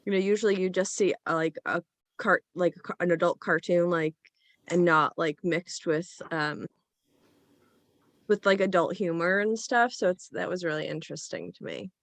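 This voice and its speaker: A woman's voice: lowish voice, normal speed, gravelly, dry recording. A woman giving casual feedback as a panel actor, hesitant and groping for her words. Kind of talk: casual feedback over a video call.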